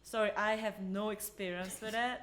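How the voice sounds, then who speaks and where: A woman's voice: mid-range voice, fairly even intonation, normal speed, fairly bright tone. A woman from South Korea, a native Korean speaker with a Korean accent, chatting casually as a podcast co-host. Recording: faint background noise.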